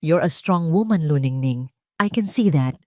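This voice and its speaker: A woman voicing a sweetly condescending rich young woman in an audio story, gentle and sugary, mixing English into Tagalog. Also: low-pitched, lively intonation, normal speed, dry recording, clean studio sound. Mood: veiled threat.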